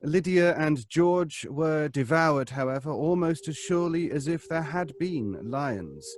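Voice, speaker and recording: A measured doctor. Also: male voice, fairly high pitch, animated. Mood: clinical. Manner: measured, clinical. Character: Doctor McLean, measured psychologist.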